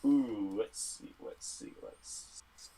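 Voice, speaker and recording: A man's voice: fairly low pitch, huge pitch swings, slow pace, gravelly, warm tone, a little hiss or hum. A Scottish man who studies medicine, casually answering health and fitness questions on a livestream Q&A. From Scotland.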